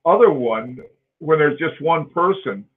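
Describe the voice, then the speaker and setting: A man's voice: on the high side, lively intonation, unhurried. Man on a tinny call line. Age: 40s. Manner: rambling, laughing often, with many fillers and restarts. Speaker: host. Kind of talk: casual live-stream chat.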